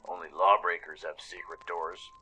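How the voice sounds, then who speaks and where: A man's voice: deep voice, level tone, moderate pace, bright, forceful tone, soft background music. A man voicing a stern, strong feathered male creature in a radio play, with a commanding manner.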